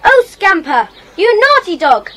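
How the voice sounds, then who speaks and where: A woman playing a bold, bossy schoolchild in an audio drama, brisk and calling out. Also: extremely high pitch, big pitch swings, a bit bright, a little hiss or hum, heavily acted. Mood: keen, bossy.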